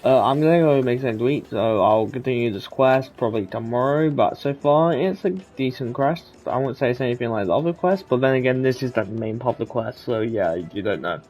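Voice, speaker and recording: A man's voice: medium pitch, animated, fairly quick, hardly any pauses, darkish tone. A man doing casual gameplay commentary as a streamer, rambling and offhand. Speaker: gaming streamer. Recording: game music behind the voice.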